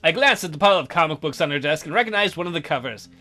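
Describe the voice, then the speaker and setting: A man's voice: a little high, lively intonation, racing, bright, forceful tone, faint music behind. A man reading a visual novel aloud as a let's player, adding sardonic asides.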